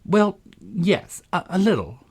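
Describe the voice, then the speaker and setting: A man voicing a gentle, earnest and sensitive young girl from a storybook. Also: fairly high pitch, very animated, normal speed, husky, voice pushed up, full character voice.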